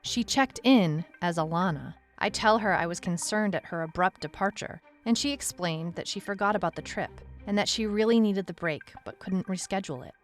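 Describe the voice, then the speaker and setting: A woman acts a deadpan, uneasy female detective telling her own story in a noir-style audio drama. Her pitch sits in the middle range, with music playing faintly underneath. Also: normal speed, hardly any pauses, natural voice. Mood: wry, uneasy. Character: wry, anxious female detective narrating her own case. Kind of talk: noir-like scripted first-person audio drama narration.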